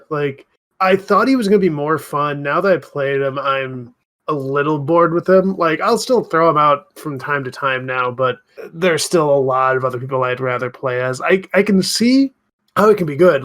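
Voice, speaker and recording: A man's voice: fairly high pitch, a bit bright. A man co-hosting a gaming podcast, chatting casually and informally with some swearing.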